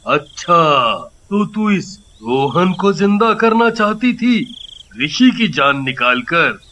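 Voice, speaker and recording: A commanding exorcist guru played by a man speaks slowly with a hard, bright edge. He is stern, in a horror tale with faint insect ambience behind. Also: high voice, big pitch swings, slight background noise, exaggerated voice. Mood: threatening. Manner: slow, commanding.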